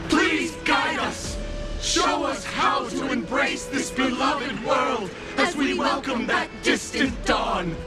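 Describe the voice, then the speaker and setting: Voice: high-pitched, lively intonation, slow, long pauses, a loud crowd. An actor voicing a fervent ceremonial orator in a video game, with reverent, projected, declamatory delivery. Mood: pleading. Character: fervent ceremonial orator chanting praise to heroes. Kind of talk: scripted video game drama. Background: loud orchestral game music.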